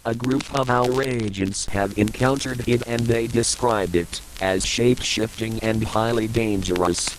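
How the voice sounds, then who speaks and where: A man playing a solemn government emergency broadcast announcer in an analog horror piece, formal and measured. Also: mid-range voice, fairly even intonation, slight background noise, lightly acted, rough recording. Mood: grave, official.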